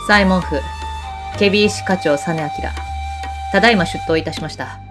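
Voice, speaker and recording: A man's voice: high voice, normal speed, music in the background, lightly acted. A man playing a dutiful commander of old imperial police officers, speaking formally and deferentially in a Japanese period audio drama. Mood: formal, respectful. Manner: formal, deferential samurai-era speech.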